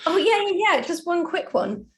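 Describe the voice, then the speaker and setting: A woman's voice: very high-pitched, very animated, normal speed. A woman chatting casually on a podcast in a wry, conversational manner. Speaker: writer and podcast co-host.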